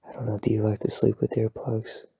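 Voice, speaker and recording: Guy whispering a bedtime ramble, super breathy and a bit gravelly, dry close sound. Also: on the low side, completely flat delivery, brisk, no echo. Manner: soft, rambling, self-deprecating, playful. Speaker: ASMR boyfriend roleplay creator. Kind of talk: whispered sleep-aid rambling. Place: home at night.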